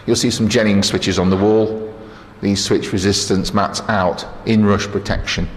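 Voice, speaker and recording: A man's voice: lowish voice, little pitch movement, normal speed. A man giving a casual technical tour as an engineer, explaining equipment as he operates it in a plain-spoken, matter-of-fact way with dry asides. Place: indoors in a transmitter hall. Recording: clear machinery and air-cooling noise.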